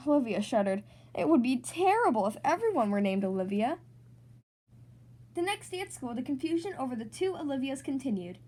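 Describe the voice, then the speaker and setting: A woman reading a children's storybook aloud as host, in an expressive, animated narrating style. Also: sits high, a little fast. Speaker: storybook reader, podcast host.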